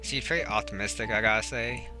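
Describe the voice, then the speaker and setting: A man's voice: on the low side, steady tone, fast. A man giving casual let's play commentary, muttering and thinking aloud as a gamer. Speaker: gamer narrating own playthrough. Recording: game music behind the voice. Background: game music.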